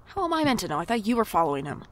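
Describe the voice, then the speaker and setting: A woman's voice: mid-range voice, big pitch swings, very fast, slight background noise, lightly acted. A woman playing a wry, jumpy night-shift shop worker who narrates an audio drama in a dry, sardonic way. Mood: flustered. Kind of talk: audio drama acting with first-person noir narration. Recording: music bed behind the voice.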